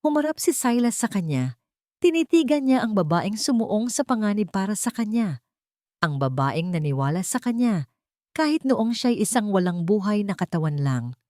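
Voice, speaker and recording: A woman with a Filipino accent narrating a scripted romance story in measured, dramatic phrases. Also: medium pitch, sing-song, a little mellow, no room sound, clean studio sound.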